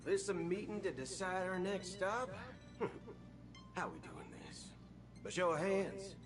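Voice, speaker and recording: A man's voice: high voice, sing-song, slow, over music, heavily acted. A man playing a brash, casual cowboy gunslinger in a video game. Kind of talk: video game character acting.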